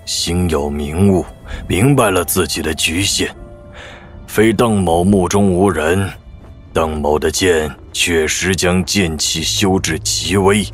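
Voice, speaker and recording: An actor playing a laconic middle-aged master swordsman in an audio drama, musing and wry. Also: deep voice. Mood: solemn reflection.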